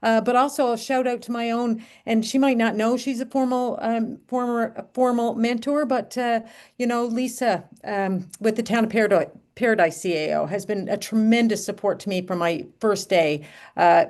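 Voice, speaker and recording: A woman panelist on a video call, speaking at a middle pitch. She is friendly and chatty, dotting her talk with fillers. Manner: friendly, many fillers, gives shout-outs. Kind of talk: panel discussion.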